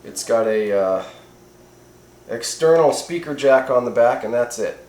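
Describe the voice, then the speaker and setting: Echoey room, man, medium pitch, casual reviewer, rambling, colloquial, laid-back delivery. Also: expressive, unhurried, a little mellow. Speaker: host of CB radio review videos. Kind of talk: casual off-the-cuff product review.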